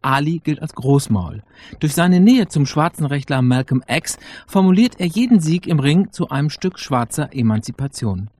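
A man's voice: a little high, expressive, normal speed, darkish tone, husky. A man reading scripted radio narration as the presenter introducing a radio play.

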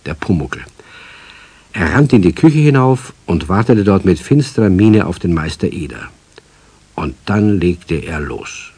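A man as the narrator of a children's radio play, telling the story in a scripted style with a husky, dark voice. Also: low voice, big pitch swings, unhurried, no echo, full character voice. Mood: building suspense.

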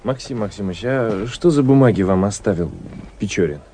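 An actor playing a reserved, polite gentleman traveller who narrates and speaks in a literary radio play, measured and reflective. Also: on the low side, lively intonation, close to an everyday voice. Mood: polite curiosity. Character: reserved, courteous gentleman traveller telling his story. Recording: noisy old radio drama recording.

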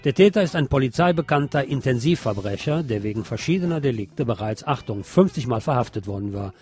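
A man's voice: medium pitch, lively intonation, fairly quick, darkish tone. A man presenting radio news from a script, with the occasional wry aside.

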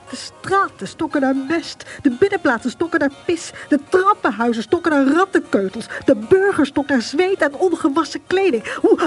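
A wry storyteller narrating a radio drama to the listener. Her voice is high and her delivery theatrical and scripted. Soft music sits underneath.

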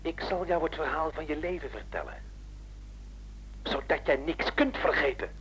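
A man's voice: fairly high pitch, animated, normal speed, background noise, lightly acted. Actor as swooping, menacing male tormentor. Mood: accusing, menacing. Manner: taunting, accusing, insistent. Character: menacing, vindictive male caller haunting a guilty man. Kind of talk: radio play acting.

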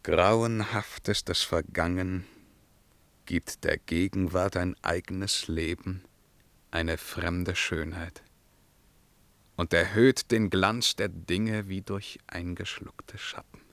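A male actor playing a brooding, lyrical emperor in a radio play, reciting verse solemnly and swinging between hushed murmurs and ringing outbursts. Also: low-pitched, fairly even intonation, slow pace, creaky, no room sound, full character voice. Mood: exalted musing.

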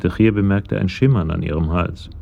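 A man's voice: sits low, fairly quick, gravelly, muffled recording, slight background noise. A man narrating a scripted radio crime drama in a matter-of-fact manner.

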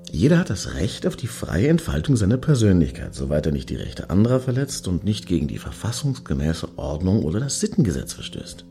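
A man acting in a satirical radio play as a brash, opinionated middle-aged club-goer with slangy, sarcastic talk and a gravelly voice. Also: sits low, wildly swooping pitch, brisk, few pauses, warm tone, faint music behind, exaggerated voice. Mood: mock lecturing. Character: brash, randy, opinionated man queuing outside a Berlin club.

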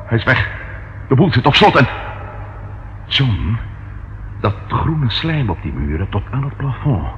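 An actor playing a detective's excitable, grumbling companion in a Dutch radio play, expressive and easily startled. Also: male voice, medium pitch, unhurried, pauses often, highs cut off. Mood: nervous, panicky. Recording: noisy old radio drama recording.

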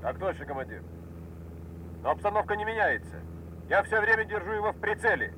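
A high, animated male voice of an eager airman, chatty and a little cheeky yet obedient. It is Soviet radio drama on a noisy recording with engine hum. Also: a little fast. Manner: chatty, slightly impudent, obedient.

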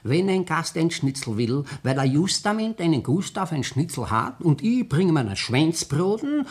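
An actor playing a fussy, plaintive Austrian head waiter in a 1960s radio play, formal and long-winded. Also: male voice, on the high side, big pitch swings, moderate pace, breathy edge. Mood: aggrieved.

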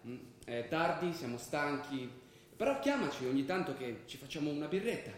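A man's voice: fairly high pitch, very animated, moderate pace, heavy reverb. An actor playing an easygoing, teasing young man, a loyal old friend, in a colloquial radio drama. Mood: conciliatory.

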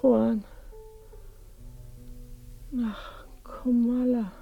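An actress playing a wistful, bitter dying mother in a radio play, in a husky, breathy, halting voice. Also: fairly high pitch, level tone, narrow, tinny audio, faint music behind, exaggerated voice. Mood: bitter, urging. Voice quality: husky, creaky, breathy near-whisper. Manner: very slow, halting, insistent. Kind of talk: German radio play acting.

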